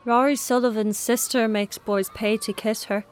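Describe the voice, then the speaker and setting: A woman's voice: a little high, steady tone, normal speed, lightly acted. A woman playing a young schoolboy in an audio drama, sullen and evasive, with terse, matter-of-fact answers. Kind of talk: naturalistic radio drama acting.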